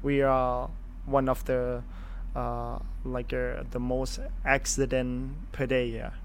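A Thai man speaking English as his third language, a guest in a casual interview, agreeable and halting, often saying he agrees. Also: medium pitch, monotone, gravelly, a little hiss or hum. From Thailand. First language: a hill-region language of northern Thailand. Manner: agreeable, halting, repeats "you're right". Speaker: guest, elephant sanctuary guide.

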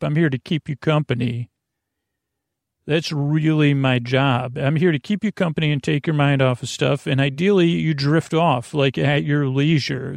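Rambling, creaky-voiced male podcast host. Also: fairly high pitch, no room sound, clean studio sound. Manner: meandering, halting, self-interrupting digressions; self-deprecating. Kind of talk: rambling bedtime monologue.

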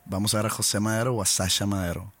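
Mexican male podcaster, low, dry, joking rambler. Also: fairly even intonation, quick pace, a bit bright, no room sound. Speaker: podcast co-host. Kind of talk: casual chat.

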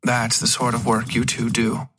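A man's voice: medium pitch, monotone, moderate pace, noisy background, natural voice. A man voicing a wary, blunt woman who asks pointed questions in an audio story. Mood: puzzled. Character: wary, blunt female narrator Ash, questioning.

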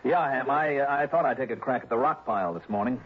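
An American actor playing a shrewd, determined insurance investigator in a 1960s radio drama. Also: male voice, medium pitch, fast talker, slightly rough, lightly acted. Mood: feigned casualness. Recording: muffled, noisy old radio recording.

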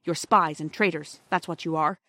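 A woman voicing a proud, earnest young schoolboy in a storybook, defiant and quick to justify himself. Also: deep voice, steady tone, pushed and bright, no echo, studio quality, heavily acted. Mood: sulky, stubborn.

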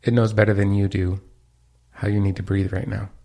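A man leading a guided meditation, giving calm, gentle instructions with pauses. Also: fairly low pitch, gravelly.

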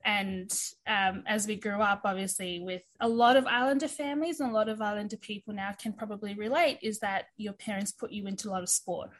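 A woman's voice: medium pitch, steady tone, fairly quick, few pauses. A woman, talking casually as an interview guest, chatty with plenty of fillers. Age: 30s. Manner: chatty, many fillers, laughs easily.

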